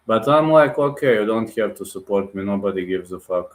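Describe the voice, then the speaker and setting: A man's voice: on the low side, lively intonation, brisk. Blunt man in a roomy echo. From Bulgaria. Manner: direct, blunt, addresses viewers as guys, non-native phrasing. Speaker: livestream host. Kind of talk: casual livestream chat, reading and answering viewer comments.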